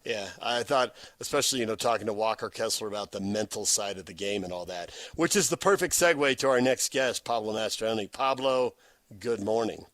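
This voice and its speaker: A man's voice: fairly low pitch, fairly quick, fairly bright tone, no echo. Conversational male sports radio host, close dry mic. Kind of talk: sports talk interview.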